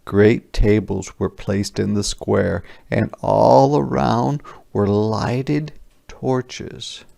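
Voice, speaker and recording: Slow, creaky-voiced man reading a storybook. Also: fairly low pitch, fairly even intonation, warm tone. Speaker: storybook reader. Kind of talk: children's picture book read-aloud, scripted narration.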